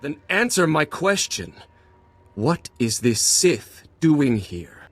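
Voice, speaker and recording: A man's voice: a little high, big pitch swings, slow, no room sound, exaggerated voice. An actor voicing a stern, righteous middle-aged male warrior monk in a video game, speaking formally and earnestly. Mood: angry, accusing. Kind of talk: dramatic video game cutscene acting.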